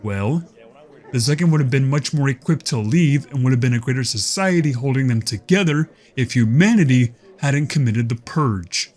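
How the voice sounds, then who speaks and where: An actor playing a smug political speechmaker for an alien rights group in an audio drama. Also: male voice, mid-range voice, animated, normal speed, a little mellow, close to an everyday voice. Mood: defensive, smug.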